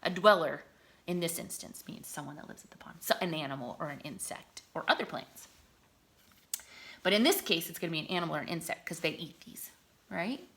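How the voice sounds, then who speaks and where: A woman reading aloud to children like a teacher, enunciating deliberately and explaining words simply as she goes. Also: deep voice, vocal fry, a bit bright.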